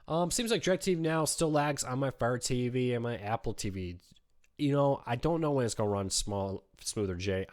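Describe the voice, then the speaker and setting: A man hosting a casual live question-and-answer stream, talking in a relaxed, chatty way with lots of ums, his voice weak from a cold. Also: fairly low pitch. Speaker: host answering viewer questions.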